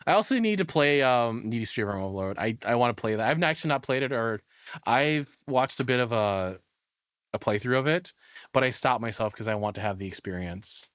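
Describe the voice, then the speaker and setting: A man's voice: mid-range voice, animated, fairly quick, fairly bright tone, no room sound, studio quality. A man giving casual, rambling and opinionated reaction commentary in an informal way. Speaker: reaction streamer.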